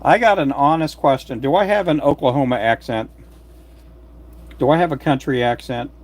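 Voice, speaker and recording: A man's voice: medium pitch, normal speed. A man in his late fifties from Texas with a country accent, chatting casually and folksily on his livestream, with many fillers. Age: 50s. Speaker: fishing jig maker and channel host.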